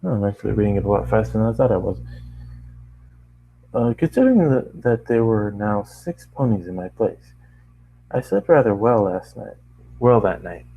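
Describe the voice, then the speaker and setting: A man's voice: lowish voice, lively intonation, moderate pace, gravelly, long pauses, husky, phone-quality sound. A man reading a first-person story aloud as an amateur narrator, often tripping over lines and starting them again. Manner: stumbles over lines and rereads them, apologising. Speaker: amateur fiction reader and narrator.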